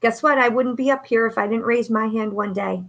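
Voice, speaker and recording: A woman's voice: on the high side, steady tone, fairly quick, a bit bright, highs cut off. A woman hosting a live online talk as a coach, upbeat and preacherly, speaking from a home setup. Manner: upbeat, preacherly, many fillers. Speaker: host and coach. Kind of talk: live-streamed motivational talk. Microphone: home setup, no studio.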